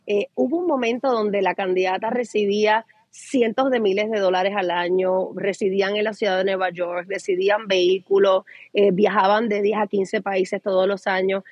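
A husky Puerto Rican woman who explains in a measured way, pausing on "eh" fillers. The audio is dry and slightly dull, lo-fi. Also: mid-range voice, fairly even intonation, darkish tone, no echo. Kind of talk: casual talk-show chat.

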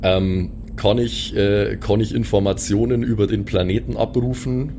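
A man's voice: lowish voice, fairly even intonation, normal speed, background noise. A man playing a tabletop role-playing game, talking in a casual, joking way.

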